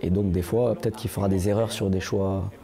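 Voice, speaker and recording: A man speaking as a racing team manager in an interview, measured and thinking aloud. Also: low-pitched, fairly even intonation, gravelly, warm tone.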